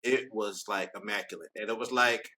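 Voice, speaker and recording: Excited rambling man. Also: fairly low pitch, fairly quick. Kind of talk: casual podcast chat.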